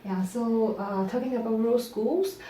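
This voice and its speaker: Kobieta z hongkońskim akcentem, ciepły głos. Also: female voice, fairly high pitch, mellow. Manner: thoughtful, with many fillers and pauses. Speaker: visiting teacher from Hong Kong. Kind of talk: interview answers. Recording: roomy, distant mic, faint room noise.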